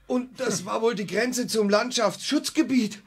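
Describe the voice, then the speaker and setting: A man playing a polite, slightly stiff gentleman doctor in a children's audio drama, speaking formally with some hesitation. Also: very high voice, a little slow, very noisy. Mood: polite, bemused.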